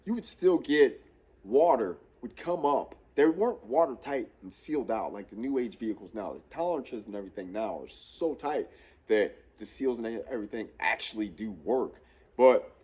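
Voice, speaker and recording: A man's voice: mid-range voice, expressive, moderate pace, darkish tone, slightly rough. A man in his thirties with a cold and a stuffy nose, hosting a casual shop tutorial in a large fabrication shop and rambling with frequent self-corrections. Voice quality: congested, nasal. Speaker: host of a truck build series. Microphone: camera on a tripod at mid distance.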